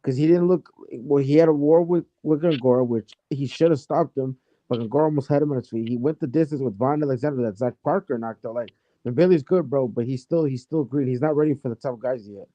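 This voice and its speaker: A man's voice: mid-range voice, a little fast, dark tone, no echo, dull audio. A man arguing casually and insistently in slangy debate as a caller, talking over the other speaker.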